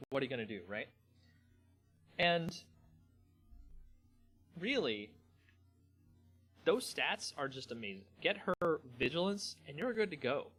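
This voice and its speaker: A man hosting a live stream in a casual, slangy, rambling way, with halting pauses. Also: a little high, sing-song, fairly bright tone, husky, faint music behind. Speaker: host of a card game channel. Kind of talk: casual live stream chat, then dramatic story reading.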